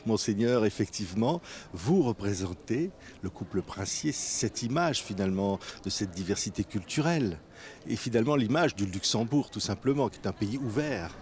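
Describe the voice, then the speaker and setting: A man's voice: lowish voice, lively intonation, moderate pace, darkish tone. A man hosting a formal televised interview, courteous and affirming, framing leading questions for his guests.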